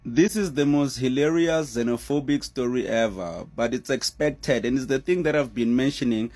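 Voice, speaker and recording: A man giving casual, animated commentary on a news story, laughing often and using many fillers. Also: mid-range voice, normal speed.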